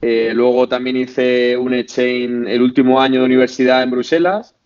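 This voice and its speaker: A man's voice: medium pitch, level tone, moderate pace, a little mellow, clear, resonant tone. Guest, man, late 20s, Madrid accent, hesitant and chatty, dull lo-fi audio. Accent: Spain Spanish. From Spain, Madrid. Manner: hesitant, many fillers and restarts. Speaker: podcast guest. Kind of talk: casual interview chat.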